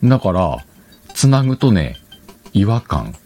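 A man with a very low, animated voice chats casually as a solo podcast host. He is playful and often corrects himself. Faint music sits behind his voice on the external microphone. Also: a little slow, breathy edge. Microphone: external microphone, recorded on a computer.

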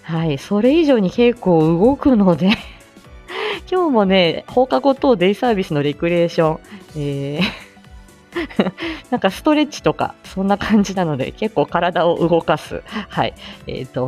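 Chatty giggly lady doing a morning live chat, really animated pitch, bit of music behind her. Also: normal speed. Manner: polite explanatory phrasing mixed with playful asides. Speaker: live audio show host; speech-language therapist.

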